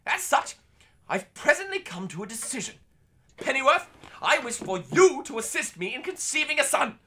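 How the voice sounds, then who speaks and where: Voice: sits high, sing-song, moderate pace, pushed and bright, husky, exaggerated voice. An actor playing a pompous, panicky and callous lord of the manor in a comedy audio drama, with a British accent and florid, theatrical speech. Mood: haughty, musing.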